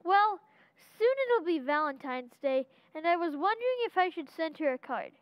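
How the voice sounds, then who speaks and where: Casting: male actor as thirteen-year-old boy, earnest and a little whiny, extremely high voice, dry sound, radio comedy. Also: animated, moderate pace, fairly bright tone, dry recording, poor audio. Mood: earnest, pleading.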